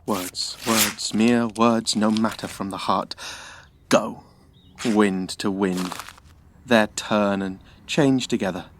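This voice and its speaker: An actor playing a bitter, vengeful young prince with impassioned declamation in a Shakespearean audio drama. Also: male voice, lowish voice, fairly even intonation, slow, background noise. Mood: bitter scorn.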